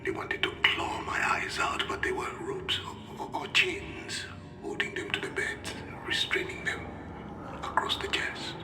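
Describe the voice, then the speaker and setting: A man playing a haunted, troubled character recording a game audio log in a halting, breathy whisper. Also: roomy sound, over music, natural voice. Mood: haunted, guilty. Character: haunted man recording memory of his nightmare.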